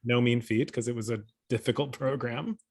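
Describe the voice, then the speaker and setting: A man's voice: medium pitch, steady tone, normal speed, a little mellow. A man in his thirties hosting an online interview, chatty and upbeat, speaking over a video call from a room at home. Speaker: host.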